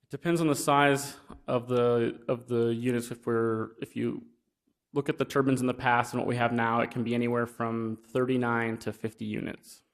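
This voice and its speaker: A man's voice: mid-range voice, level tone, normal speed. A young man giving an informal presentation at a county meeting, talking plainly with many fillers and restarts. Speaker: wind energy business developer. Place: county commission meeting room.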